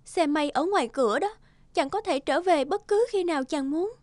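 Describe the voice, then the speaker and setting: Woman acting a high-voiced, gentle fairy wife. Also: normal speed, no room sound, lightly acted. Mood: resigned. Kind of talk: animated folktale voice acting.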